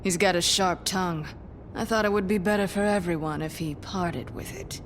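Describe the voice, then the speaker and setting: A woman playing a principled, composed magic worker in a video game drama, earnest and measured. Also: on the low side, a little hiss or hum, lightly acted. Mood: cool, composed.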